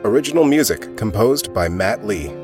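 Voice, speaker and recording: A man's voice: fairly low pitch, lively intonation, moderate pace. Guy announcer doing scripted outro credits for a podcast, music playing under it.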